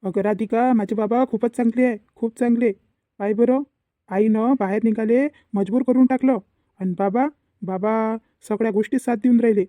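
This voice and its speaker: A man's voice: sits high, steady tone, moderate pace, warm tone, dry recording, natural voice. A man playing an earnest, protective young husband in a cartoon family drama, with a Varhadi Marathi accent. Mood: grateful. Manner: earnest, explanatory.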